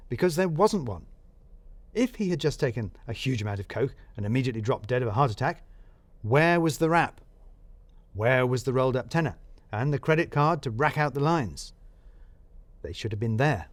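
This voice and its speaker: A man's voice: medium pitch, darkish tone, no echo. A man narrating a scripted audio drama as a detective presenting his case, dry and wry.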